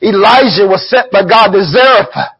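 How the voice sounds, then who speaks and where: A man preaching a fervent sermon in a chanting cadence, shouting and crying out, with a breath of "uh" between phrases, on a muffled recording. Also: high voice, lively intonation, moderate pace, husky.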